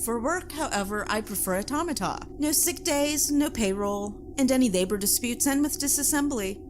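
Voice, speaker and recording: A woman playing a wealthy, haughty plantation owner in an audio drama, formal and measured with quiet menace. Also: on the high side, lively intonation, noisy background, natural voice.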